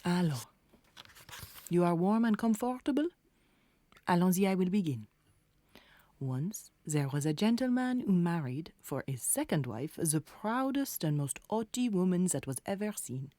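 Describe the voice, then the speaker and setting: A woman's voice: on the low side, sing-song, measured pace, warm tone, dry recording. A woman playing a kindly French hotel maid, soothing and coaxing, with a French accent, in a children's audio drama. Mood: soothing storytelling.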